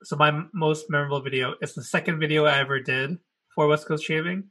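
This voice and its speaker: Man, very bright tone, casual podcast chat, rambling, restarts a lot. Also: on the high side, fairly even intonation, fast. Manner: rambling, self-correcting, laughs often. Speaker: podcast co-host.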